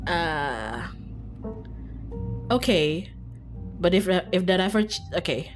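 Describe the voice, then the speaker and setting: A woman's voice: on the low side, expressive, takes it slowly. Chatty woman streamer over music. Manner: giggly, self-interrupting, informal swearing. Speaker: streamer playing and reading aloud a visual novel. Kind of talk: casual game stream commentary, reading dialogue aloud. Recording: music behind.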